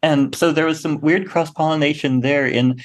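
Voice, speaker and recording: A man speaking as an interview guest, telling stories casually with a halting, wry, humorous delivery. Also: a little high, steady tone. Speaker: guest playwright and actor.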